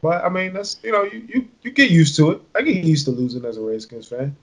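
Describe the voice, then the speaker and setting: A man's voice: fairly high pitch, animated, fairly quick. A man co-hosting a sports podcast, ranting in frustration about his team's management. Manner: exasperated, ranting fan. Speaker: podcast co-host. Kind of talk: casual sports banter.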